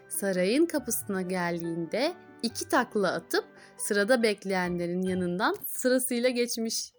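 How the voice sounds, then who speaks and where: A woman reading fairy tales to children in an expressive storyteller's style. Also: medium pitch. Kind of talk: expressive scripted fairy-tale narration for children.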